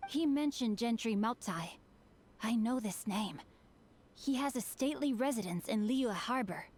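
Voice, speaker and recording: A woman's voice: fairly high pitch, lively intonation, unhurried, darkish tone. A woman voicing an earnest, bookish young male swordsman who speaks in formal, old-fashioned phrases and quotes proverbs. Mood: calm. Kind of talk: video game character dialogue.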